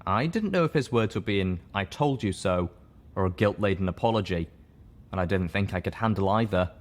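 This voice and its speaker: Low-voiced man reading fiction. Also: expressive, quick pace. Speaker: story reader. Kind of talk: dramatic audiobook narration of fiction.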